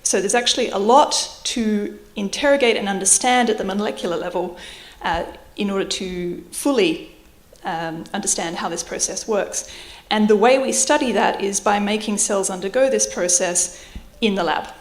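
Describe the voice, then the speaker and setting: A woman's voice: mid-range voice, normal speed. Australian woman giving a science lecture. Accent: Australian with slight British influence. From Adelaide, Australia. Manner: explanatory, with light self-deprecating asides. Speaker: university researcher giving a public lecture. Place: lecture hall. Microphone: microphone at a lectern or on her.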